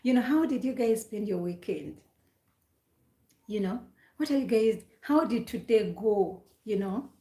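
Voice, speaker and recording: A woman from Uganda hosts a relaxed live stream at a middle pitch. She is chatty and repeats her questions as she greets viewers by name. The room gives her voice a noticeable echo. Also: a little slow. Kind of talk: casual live stream chat.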